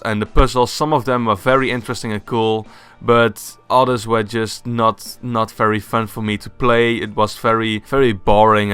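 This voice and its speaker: A young man with a Dutch accent, casually giving his opinions on video games, enthusiastic and quick to laugh at himself. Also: lowish voice, a bit bright, smooth. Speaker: gaming YouTuber. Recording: faint background music.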